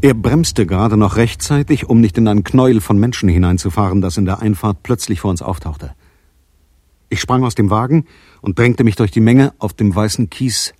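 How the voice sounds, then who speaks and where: Casting: cool-headed private eye, rough-edged low voice, matter-of-fact, radio play acting and narration. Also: male voice, mellow, no echo, studio quality. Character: shrewd, cool-headed male private detective narrating his case. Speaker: lead detective and narrator. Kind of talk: 1980s German radio play acting and first-person narration.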